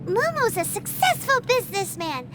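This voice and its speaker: A tiny childlike fairy companion from a video game, speaks in an extremely high squeak. The voice is excitable and swings lively up and down. Loud music sits behind it. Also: female voice, moderate pace. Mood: curious, chatty. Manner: excitable, expressive. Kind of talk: video game character dialogue.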